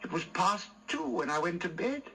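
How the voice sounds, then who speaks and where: Crotchety old miser in radio drama. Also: male voice, high voice, very animated, moderate pace, bright, forceful tone, soft background music, full character voice. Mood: puzzled, muttering. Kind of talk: classic radio drama acting.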